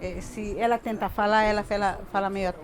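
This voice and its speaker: Older Bolivian woman, halting speech. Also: medium pitch, fairly even intonation. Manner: halting, repeats words, frequent tag questions. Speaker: interviewee. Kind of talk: casual interview talk.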